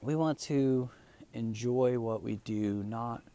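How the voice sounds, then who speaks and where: A man whose first language is Spanish, coaching English learners in a calm, relaxed way with many fillers. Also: medium pitch, slow. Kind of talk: relaxed teaching monologue.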